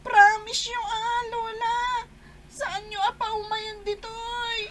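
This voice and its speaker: Very high-voiced, frightened little child. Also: female voice, little pitch movement, slow, heavy background noise. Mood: pleading. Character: frightened young child, clingy and pleading. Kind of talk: Ilocano radio drama acting.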